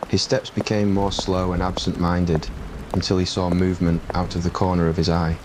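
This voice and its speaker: Very low, even-toned male story narrator. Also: moderate pace. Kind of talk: scripted story narration, ASMR roleplay. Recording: clear rain noise behind voice. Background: rain ambience.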